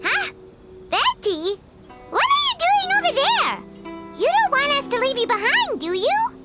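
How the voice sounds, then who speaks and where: A tiny childlike fairy companion for an anime game. The voice is extremely high and squeaky, swooping freely in pitch. The delivery is blunt and chirpy, with loud music behind it. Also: female voice, wildly swooping pitch, unhurried, heavily acted. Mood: puzzled. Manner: refers to self in third person, exclaiming.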